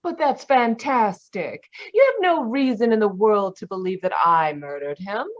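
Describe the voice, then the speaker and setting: A woman's voice: mid-range voice, big pitch swings, normal speed, lightly acted. A woman playing a polite, genteel young lady of a wealthy household in an old-time radio mystery. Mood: flustered, protesting. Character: well-mannered daughter of a murdered millionaire. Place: remote video call performance. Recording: dull, muffled video-call audio.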